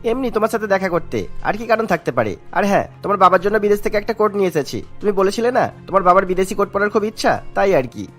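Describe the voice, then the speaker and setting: A man's voice: high-pitched, sing-song, quick pace, few pauses, faint music behind. A man voicing an earnest, apologetic young man in a cartoon, explaining himself and pleading.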